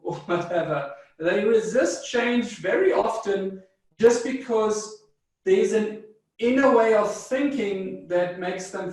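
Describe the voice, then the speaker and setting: German-speaking South African man, high-pitched and unhurried, recorded far from the mic in a very echoey room. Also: a little mellow. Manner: measured, thoughtful, with frequent fillers and restarts. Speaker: guest psychologist. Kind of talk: interview answers.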